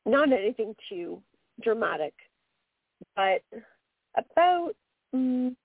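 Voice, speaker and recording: A woman's voice: a little high, big pitch swings, takes it slowly, breathy edge, no room sound. A woman with a Canadian accent, co-hosting a radio show as a medium and speaking in an earnest, meandering way with frequent fillers. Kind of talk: casual radio talk. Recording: dry tinny phone line.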